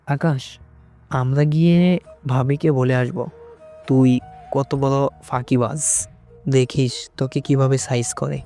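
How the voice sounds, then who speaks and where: A man's voice: mid-range voice, steady tone, slow, long pauses, dark tone. A man playing a young, teasing and dramatic friend of a groom in an audio story. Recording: music behind the voice.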